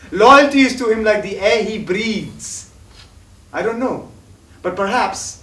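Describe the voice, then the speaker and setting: A man's voice: high-pitched, a bit bright. A man playing a bitter young heir. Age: young adult. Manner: impassioned, declamatory. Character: bitter young man estranged from his rich family. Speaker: actor. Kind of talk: stage play acting. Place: theatre stage. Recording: echoey stage from far off, faint music.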